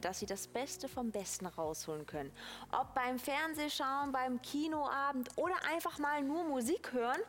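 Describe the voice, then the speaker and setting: A woman co-hosting a TV shopping show, chatting enthusiastically and talking straight to the viewers. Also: high voice. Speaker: shopping channel co-host. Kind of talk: TV shopping sales presentation. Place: TV studio.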